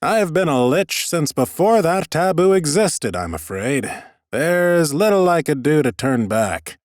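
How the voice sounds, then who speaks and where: A man's voice: fairly high pitch, big pitch swings, a little slow, no room sound, studio quality, pitched above the performer's own voice. A man voicing an ancient, urbane lich who speaks with formal, aloof courtesy in an audiobook. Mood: polite, unruffled.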